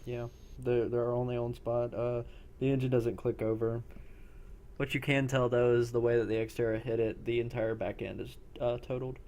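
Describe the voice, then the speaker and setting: A man's voice: medium pitch, level tone, normal speed, mellow. A man running a role-playing game, narrating scenes off the cuff in a matter-of-fact way. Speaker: game master.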